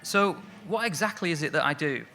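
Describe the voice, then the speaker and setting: Bright-voiced Manchester man giving a talk. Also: fairly high pitch, expressive, a little fast, gravelly, faint music behind. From Manchester, England. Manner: friendly, self-deprecating, many fillers. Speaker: lettering artist giving a talk. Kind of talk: conference talk. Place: stage at an evening event.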